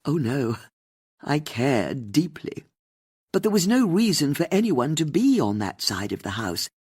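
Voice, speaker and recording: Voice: mid-range voice, very animated, measured pace, darkish tone, slightly rough. An audiobook narrator voicing a haughty, indignant, upper-class older English lady who is opinionated and full of disdain. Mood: defensive, candid. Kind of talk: audiobook character dialogue.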